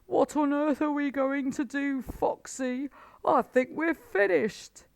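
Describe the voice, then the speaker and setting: A woman's voice: sits high, steady tone, takes it slowly, vocal fry, no echo, pitched above the performer's own voice, exaggerated voice. A woman voicing a desperate, anguished old male badger in a storybook. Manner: anguished, pleading, loud.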